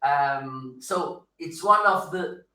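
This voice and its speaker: A man with a Portuguese accent talks slowly and haltingly, hunting for his words in a language that isn't his first, with a bright edge to his voice. He sits a little back from the mic, and the sound comes through a roomy, echoey space. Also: on the high side, far from the mic. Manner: halting, searches for words, laughs often. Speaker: guest. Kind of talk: casual live-stream chat. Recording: roomy echo, slightly off-mic.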